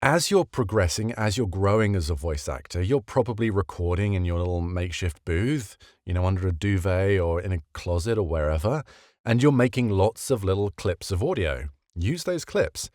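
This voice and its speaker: A man's voice: low-pitched, expressive, moderate pace, a little mellow, no room sound, clean studio sound. A man talking casually and explaining like a coach, posing questions and repeating key words for emphasis. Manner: conversational, rhetorical questions, emphatic repetition. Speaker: voiceover coach giving career advice. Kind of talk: casual explanatory talk to camera.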